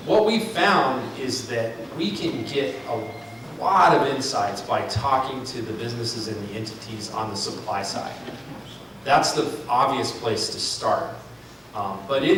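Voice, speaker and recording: Consultant man in an echoey hall. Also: medium pitch. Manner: thinking aloud, frequent ums and restarts. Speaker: consultant presenting a market analysis. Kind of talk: public presentation with Q&A. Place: large room at a public forum. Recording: echoey hall, far from mic, noisy.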